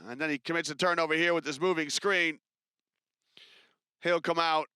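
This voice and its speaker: Guy doing basketball play-by-play, bright crisp voice, dead dry sound. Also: on the high side, no room sound. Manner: brisk, chatty, rises with excitement on big plays. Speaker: play-by-play commentator. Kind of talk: live basketball commentary.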